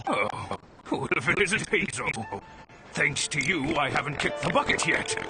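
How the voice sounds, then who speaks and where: An actor playing a proud, grumpy seventy-year-old former merchant with a creaky, grumbling delivery in a video game. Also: male voice, a little high, animated, moderate pace, lightly acted. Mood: dry, gruff. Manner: grumbling, curmudgeonly. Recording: game music under the voice.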